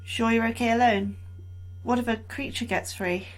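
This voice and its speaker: An actress playing an anxious, sarcastic junior scientist in a sci-fi horror audio drama. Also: female voice, a little high, steady tone, normal speed, close to an everyday voice, poor audio. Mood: wary. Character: anxious, sardonic young female scientist on probation. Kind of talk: audio fiction acting.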